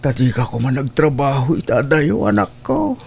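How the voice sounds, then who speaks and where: A man's voice: mid-range voice, sing-song, moderate pace, creaky, darkish tone, slight background noise. A man with an Ilocano Filipino accent playing a worried, weary old father in an audio drama, plaintive and sighing.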